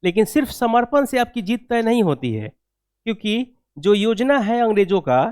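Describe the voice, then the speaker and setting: A man's voice: high voice, animated, normal speed, darkish tone, smooth, no echo, clean studio sound. A man teaching history for exam coaching, lecturing didactically, repeating key phrases and asking rhetorical check questions. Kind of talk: classroom lecture with dictated answer points.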